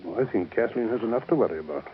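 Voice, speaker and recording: Mild older uncle, male actor, lowish voice, measured and formal, 1930s radio soap, muffled recording. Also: lively intonation, slight background noise, natural voice. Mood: cautious, reassuring.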